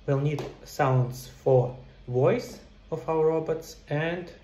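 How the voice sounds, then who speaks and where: A man's voice: fairly high pitch, takes it slowly, mellow. A middle-aged man giving a casual step-by-step tutorial, explaining things directly to viewers as a game developer. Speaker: video tutorial presenter, indie game developer. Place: indoor room. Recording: roomy echo, some background noise.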